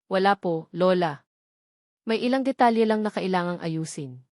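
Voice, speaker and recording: A woman's voice: lowish voice, moderate pace, a little mellow, dry recording, studio quality, close to an everyday voice. Woman voicing a terse, troubled Filipino businessman. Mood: evasive. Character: troubled, urgent businessman in his prime.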